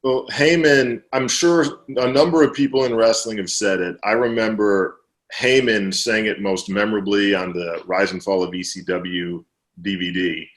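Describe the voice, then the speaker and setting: A man being interviewed as an author, explaining at length in relaxed conversation, with fillers and restarts. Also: on the low side, moderate pace, low-quality recording.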